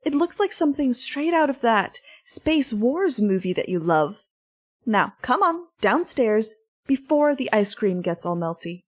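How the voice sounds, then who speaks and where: A woman's voice: a little high, very animated, unhurried, no room sound. A woman playing a doting but no-nonsense mother in an audio drama. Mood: indulgent.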